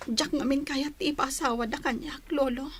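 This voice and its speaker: High-pitched young Ilocano bride played by an actress. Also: female voice, steady tone, normal speed, slight background noise, natural voice. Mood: sorrowful. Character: young bride, dutiful daughter, homesick and reluctant. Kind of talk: Ilocano comedy drama acting.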